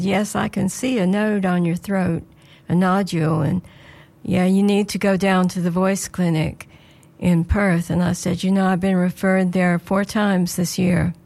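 Woman, radio presenter, slightly hoarse, earnest devotional chat, medium pitch. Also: darkish tone. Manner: earnest, prayerful, conversational.

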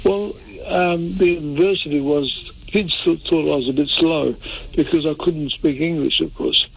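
An older Italian-born man talks through an interview at an unhurried, halting pace, often stopping and restarting. His voice is gravelly and a little husky, and sits somewhat high for a man. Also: steady tone, poor audio. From Italy. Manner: halting, many fillers and restarts. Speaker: interview guest, defence lawyer. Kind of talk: reflective interview answers.

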